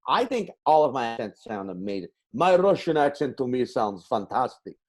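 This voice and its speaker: A man's voice: mid-range voice, sing-song, normal speed, a little mellow. A man co-hosting a podcast, chatting casually and joking with plenty of swearing and laughter. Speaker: podcast co-host. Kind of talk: casual chat on a video call. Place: home in a city apartment.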